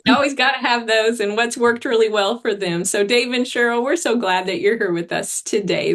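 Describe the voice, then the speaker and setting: Woman hosting a video call training. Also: fairly high pitch. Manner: warm, upbeat introductions.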